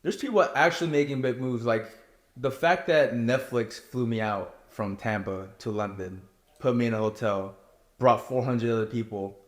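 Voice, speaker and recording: A man's voice: mid-range voice, normal speed, reverberant. A young man from Korea answering interview questions candidly and casually, with plenty of "like" and "you know". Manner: candid, relaxed, many fillers like "you know" and "like". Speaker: reality show contestant.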